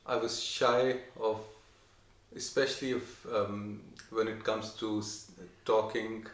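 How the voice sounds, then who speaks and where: A young adult man from Pakistan, answering his wife's questions in a soft, hesitant way with many pauses. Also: on the low side, little pitch movement. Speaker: interviewee. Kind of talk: casual chat. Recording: echoey room.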